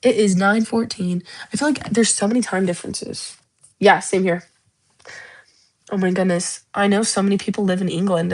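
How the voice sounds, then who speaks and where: A young woman hosting a casual livestream, chatting about a book series in a gushing, emotional, rambling way that often repeats itself. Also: medium pitch. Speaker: livestream host.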